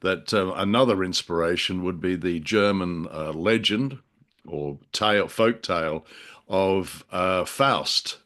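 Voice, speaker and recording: A man co-hosting a conversational discussion, speaking in halting, wordy phrases. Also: low voice, a bit bright. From Australia. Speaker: podcast co-host. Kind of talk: conversational discussion of classic radio drama.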